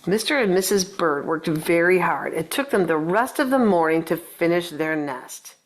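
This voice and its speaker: A woman's voice: lowish voice, animated, normal speed, rough recording. A woman reading a children's picture book aloud, playfully chatting to young listeners in little asides. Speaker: storybook reader.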